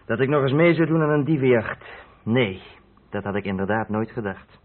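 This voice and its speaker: An actor playing a matter-of-fact former truck driver turned small haulage boss in a radio play. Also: male voice, mid-range voice, lively intonation, moderate pace, close to an everyday voice. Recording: thin phone-like old radio recording.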